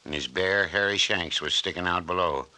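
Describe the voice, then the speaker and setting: A cautious country doctor, with a low, very bright and forceful voice. He reasons in homespun rural American speech, in old-time radio drama style. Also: moderate pace, low-quality recording. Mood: uneasy.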